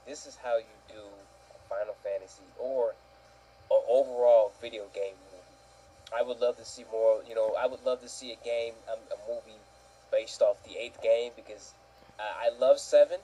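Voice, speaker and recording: A man chatting casually as an enthusiastic fan, halting and circling back over his words. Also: mid-range voice, mellow, a little hiss or hum, poor audio.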